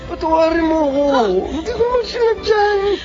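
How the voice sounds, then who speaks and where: An actor playing a deceitful, pleading lover full of empty promises in a Filipino radio drama, with a Filipino accent. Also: male voice, extremely high pitch, lively intonation, fast talker. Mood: desperate, begging. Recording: dull lo-fi radio sound, music, crowd noise.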